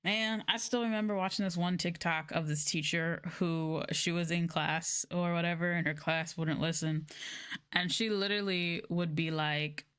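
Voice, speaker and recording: A woman with a fairly low-pitched voice talks casually as a livestreamer. Her phrasing is informal and slangy. She tells stories with exasperation, slipping into quoted exchanges as she goes. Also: fairly even intonation, normal speed, fairly bright tone, clean tone. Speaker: livestreamer and former English teacher.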